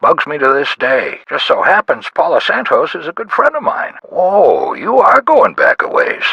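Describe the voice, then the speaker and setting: A gravelly, animated small-town police detective. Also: male voice, on the high side, normal speed, no room sound, dull audio, exaggerated voice. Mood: musing, curious. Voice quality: gravelly, husky. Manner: folksy, clipped sentences, wry. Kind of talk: video game voice acting. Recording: band-limited dry phone-style sound.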